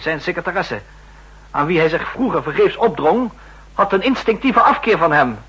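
Insistent spy official, high voice swooping around, biting and formal, rough old radio sound. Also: male voice, fairly bright tone, low-quality recording. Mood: accusing, triumphant. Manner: pointed, emphatic, biting, formal. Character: driven, insistent male counter-intelligence official making accusations. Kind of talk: 1960s radio drama acting.

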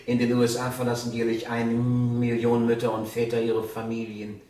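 Ein Schauspieler spielt in einem Krimi-Hörspiel einen feierlichen religiösen Prediger an der Haustür, gemessen und rhetorisch. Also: male voice, medium pitch, monotone, mellow, natural voice. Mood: solemn, rhetorical. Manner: rhetorical, measured, preaching. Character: solemn door-to-door religious preacher reciting doom and salvation. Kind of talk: German radio crime play acting. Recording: very echoey, distant from mic.

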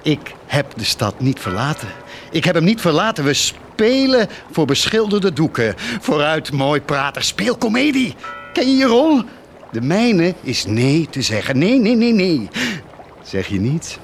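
An actor playing an anguished, guilt-ridden parish priest in a radio drama, solemn, halting and tormented. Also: male voice, on the high side, sing-song, slight background noise. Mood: feverish denial.

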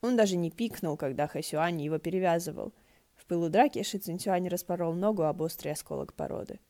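Female audiobook narrator, lowish pitch, dark mellow timbre, expressive scripted storytelling. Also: brisk.

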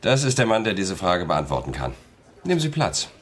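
Ein Schauspieler spielt in einem Hörspiel einen weltgewandten Ermittler, der ironisch und höflich spricht. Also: male voice, low-pitched, wildly swooping pitch, moderate pace, fairly bright tone, slight background noise, heavily acted. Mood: wry. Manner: ironic, courteous. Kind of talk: German radio play acting.

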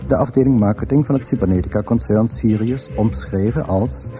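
A dry male narrator , played by an actor, reads from a space guide in a radio play. His voice is low, very dark and deadpan. The recording is muffled. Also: brisk, faint music behind, close to an everyday voice. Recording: dull old radio recording.

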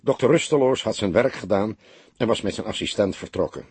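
A man narrating a crime novel as an audiobook, in expressive scripted storytelling. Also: fairly low pitch, brisk, husky, no room sound.

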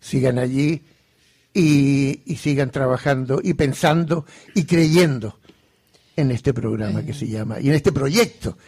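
A man's voice: medium pitch, a little slow, darkish tone, slightly rough. An older man presenting informally to an audience at a live event, often repeating words and phrases as he goes.

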